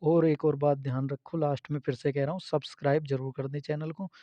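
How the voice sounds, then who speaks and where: Bright, higher-voiced man explaining. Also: little pitch movement, fairly quick, no room sound. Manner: instructive, repeats points, checks with listeners. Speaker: host of an exam information channel. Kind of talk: informal explainer addressed to exam candidates. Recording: dull, muffled lo-fi sound.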